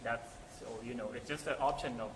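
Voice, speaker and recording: A young man with a Thai accent leading a casual group discussion in English, pausing and searching for words. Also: medium pitch, roomy sound. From Thailand. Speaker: discussion leader. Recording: noisy room recording.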